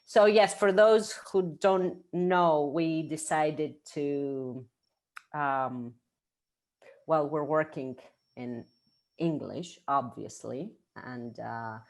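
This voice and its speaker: A woman whose first language is Greek, an author chatting on a video call, halting with many fillers and restarts. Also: deep voice, darkish tone. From Greece. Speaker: book co-author. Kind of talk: casual online video call chat.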